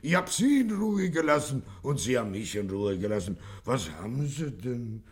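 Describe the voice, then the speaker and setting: An actor playing a cocky Chicago gangster boss who blusters, stammers and wheedles in a radio crime satire. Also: male voice, mid-range voice, big pitch swings, normal speed, lightly acted. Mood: wheedling. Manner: stammering, blustering, turning from threats to oily pleading. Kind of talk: 1950s German radio comedy crime drama acting.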